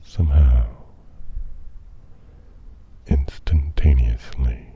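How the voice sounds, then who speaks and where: Man, extremely low voice, hushed whispery ASMR musing, halting delivery, muffled recording with some hiss. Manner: hushed, mostly whispered, halting with long pauses; musing, deliberate short phrases. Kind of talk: improvised ASMR monologue. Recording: dull, muffled audio with faint noise.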